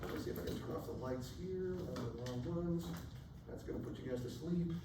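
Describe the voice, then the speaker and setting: Hoarse male chemistry lecturer in echoey hall. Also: mid-range voice, fairly quick. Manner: casual, checks in often with "right?". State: hoarse, losing his voice. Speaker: chemistry instructor. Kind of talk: classroom lecture. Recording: echoey lecture hall, distant mic, faint room noise.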